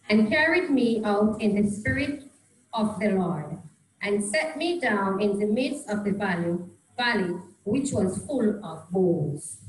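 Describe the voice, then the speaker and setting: A young woman reading scripture aloud into a handheld microphone in a small church, measured and deliberate. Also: medium pitch, reverberant, poor audio. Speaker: scripture reader. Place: small church hall, indoors.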